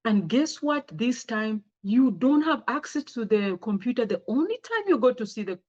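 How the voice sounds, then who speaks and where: A woman's voice: a little high, very animated, normal speed, darkish tone, highs cut off. A woman with a Zambian accent giving an informal slide presentation, conversational and often putting rhetorical questions to her listeners. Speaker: speaker sharing her career story with girls.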